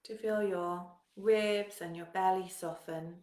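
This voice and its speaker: A woman leading a guided meditation in a calm, soothing manner, giving gentle instructions with long pauses. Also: mid-range voice, roomy sound.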